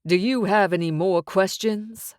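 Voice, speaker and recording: A woman's voice: mid-range voice, a little slow, darkish tone, dry recording, studio quality, lightly acted. A woman voicing a smug, menacing male mob boss who talks with amused, sing-song menace.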